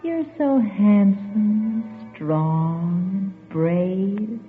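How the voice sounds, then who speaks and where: An actress playing a cooing, adoring sweetheart. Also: female voice, medium pitch, expressive, very slow. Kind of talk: 1940s radio acting. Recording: tinny old radio broadcast with music.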